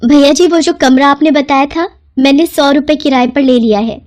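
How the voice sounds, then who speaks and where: A woman performs a poor young village mother for a cartoon story. The voice is very high and humble, with a polite, pleading way of speaking. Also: fairly even intonation, moderate pace, a little mellow, natural voice. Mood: polite, earnest.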